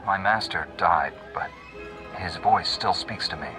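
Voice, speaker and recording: A man's voice: low-pitched, measured pace, over music. A man playing an earnest, steadfast young Jedi hero in a video game, composed and direct. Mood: calm, curious.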